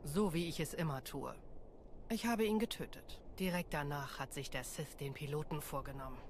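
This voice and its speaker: A woman's voice: deep voice, normal speed, darkish tone, close to an everyday voice. Woman doing tough battle-worn jedi lady for a game, dry and blunt, noisy background. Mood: grim, subdued. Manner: terse, dry, matter-of-fact. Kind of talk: video game cutscene acting.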